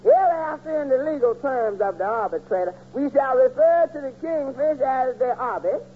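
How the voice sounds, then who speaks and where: Sly pompous bribe-taking judge type, extremely high-voiced, 1940s radio comedy, humming lo-fi broadcast sound. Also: male voice, lively intonation, moderate pace. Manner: pompous mock-legal talk. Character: crooked, bribe-taking male arbitrator, mock-solemn and sly. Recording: scratchy, narrow old radio recording, hum.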